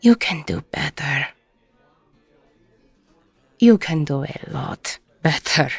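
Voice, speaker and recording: A woman playing a weary, gruff, middle-aged ex-con bartender, husky and breathy, blunt and self-deprecating, in an intimate audio roleplay. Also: low voice, expressive, slow pace, no echo, soft background music, exaggerated voice. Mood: weary, resigned.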